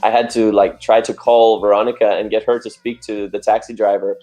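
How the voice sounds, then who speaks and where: Animated man telling stories, laughing. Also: on the low side, fairly quick, soft background music, poor audio. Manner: animated storyteller, laughs often. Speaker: dancer. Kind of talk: casual interview chat.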